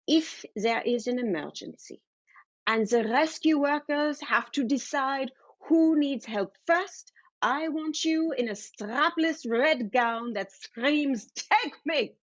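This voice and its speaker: A woman's voice: sits high, slow, dry recording, muffled recording, pitched above the performer's own voice. A woman voicing her elderly mother in a personal story, with a dramatic, sentimental, blunt manner. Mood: imperious, dramatic. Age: old woman. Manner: theatrical, sentimental, non-native phrasing.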